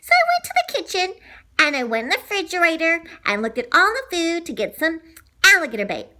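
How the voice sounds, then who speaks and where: Woman playing an excitable, high-pitched little boy. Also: exaggerated voice. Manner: exclamatory, dramatic, drawn-out words. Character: excitable young boy wary of an alligator. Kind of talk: children's storybook read-aloud.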